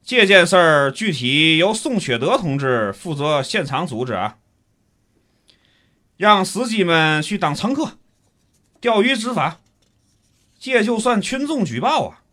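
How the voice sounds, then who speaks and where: A man's voice: high-pitched, big pitch swings, measured pace, long pauses, pushed and bright, exaggerated voice. A man with a Tianjin accent acting a grumbling, pompous city schemer in a comic audio drama, theatrical and colloquial. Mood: pompous, scheming.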